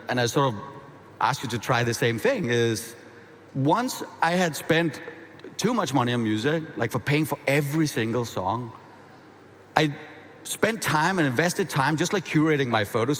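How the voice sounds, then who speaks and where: A man with a Danish accent giving a conversational, energetic conference keynote, often restarting his phrases. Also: mid-range voice. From half Danish. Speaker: keynote speaker. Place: large conference hall. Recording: reverberant hall.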